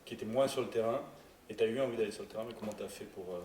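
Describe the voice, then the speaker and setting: Fast man, distant and echoey. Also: fairly low pitch, steady tone. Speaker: interviewer. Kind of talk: casual questioning. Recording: echoey, distant from mic, clear noise.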